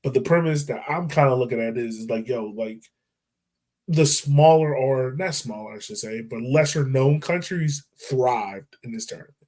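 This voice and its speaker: Man, mid-pitched voice, podcast host, relaxed slangy chat, lots of "like". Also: normal speed. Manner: relaxed, slangy, repeats phrases with "like". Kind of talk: casual sports chat.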